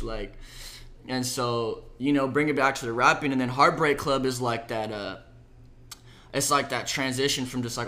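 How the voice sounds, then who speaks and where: Laid-back slangy young man. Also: mid-range voice. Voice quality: soft voice. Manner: laid-back slangy talk, lots of "like" and "bro". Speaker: musician talking about his EP. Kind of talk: casual interview chat.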